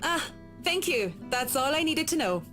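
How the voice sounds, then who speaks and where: A woman's voice: high voice, big pitch swings, moderate pace, sharp tone, full character voice. An actress playing a curt, haughty woman in a visual novel, with a formal, cool manner. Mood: formal, reserved. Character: Miss McCullough, curt, haughty woman designer. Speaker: video game voice actor. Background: background guitar music.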